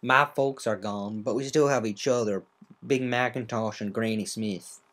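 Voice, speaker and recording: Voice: mid-range voice, steady tone, normal speed, very noisy, close to an everyday voice. A performer voicing a plain-spoken, sympathetic female cartoon farm pony with a folksy manner.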